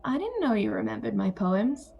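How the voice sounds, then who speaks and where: A woman playing a calm, wry older sister and former literature teacher in an audio play. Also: fairly high pitch, normal speed, vocal fry, husky, lo-fi sound, exaggerated voice. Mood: reflective.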